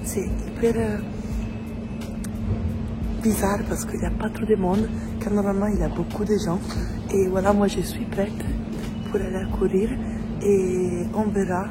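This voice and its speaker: A woman's voice: medium pitch, flat delivery, unhurried, pauses often, over loud music, rough recording. A young woman in her twenties from Italy, speaking with an Italian accent and chatting casually as a vlogger in a language that is not her first, with many fillers. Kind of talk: casual vlog chat.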